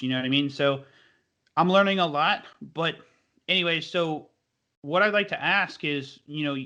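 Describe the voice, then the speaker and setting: Bright-voiced, rambling young podcast host. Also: male voice, a little high, highs cut off. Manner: rambling and enthusiastic, heavy use of fillers. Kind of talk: casual podcast chat.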